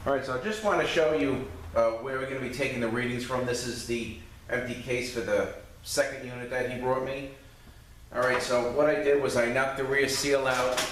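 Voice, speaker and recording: A man's voice: mid-range voice, fairly even intonation, normal speed, a bit bright. A man giving an informal hands-on walkthrough, explaining step by step like a mechanic teaching a repair. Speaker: transmission mechanic demonstrating repair. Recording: roomy echoing space, distant mic, faint noise.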